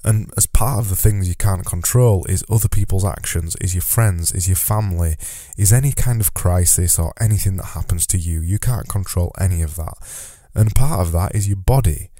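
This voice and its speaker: Nasal, congested man, very deep. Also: moderate pace, dark tone, dry recording, clean studio sound. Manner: rambling, self-deprecating, motivational. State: nasal from a cold. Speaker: podcast host. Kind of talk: solo podcast monologue.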